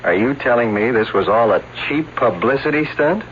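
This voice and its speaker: Actor playing a dry, stern police lieutenant. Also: male voice, medium pitch, expressive, moderate pace, close to an everyday voice. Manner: hesitant and apologetic as clerk, then dry and stern. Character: man posing as coroner's clerk, then a dry police lieutenant. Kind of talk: 1970s radio drama acting. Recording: dull old radio broadcast with hiss.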